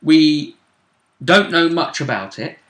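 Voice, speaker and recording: Male game master, bright forceful voice, casual recap of tabletop play, echoey room. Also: on the high side, lively intonation, slow pace. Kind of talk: casual chat, recounting game events. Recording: roomy, echoey sound.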